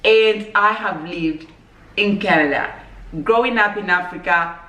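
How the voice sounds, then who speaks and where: A woman from Zambia, chatting casually on her vlog in a relaxed, measured way and laughing easily. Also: mid-range voice. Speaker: vlogger. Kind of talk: casual chat. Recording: echoey room, mic at a distance.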